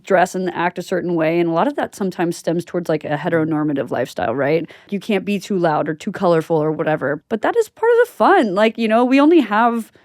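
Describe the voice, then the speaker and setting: Podcast host, woman, early thirties, lowish voice, casual interview chat, dry room sound. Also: lively intonation, quick pace, hardly any pauses, darkish tone, dry recording.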